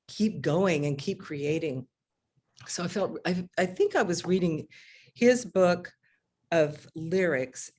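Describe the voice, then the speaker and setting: Middle-aged woman, low voice, animated singer guest on a video call. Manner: chatty, restarts often, animated. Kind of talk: casual video interview. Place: home living room.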